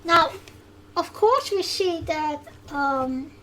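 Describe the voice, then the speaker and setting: A woman with a Dutch accent hosting a solo podcast recap, speaking haltingly with frequent pauses. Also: extremely high pitch, expressive, a bit bright, a little hiss or hum. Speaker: podcast host.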